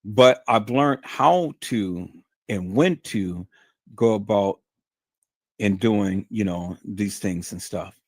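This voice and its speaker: A man's voice: lowish voice, slow pace, a little mellow, no echo. Stern lecturing man, dry sound. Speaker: livestream host. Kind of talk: unscripted livestream monologue.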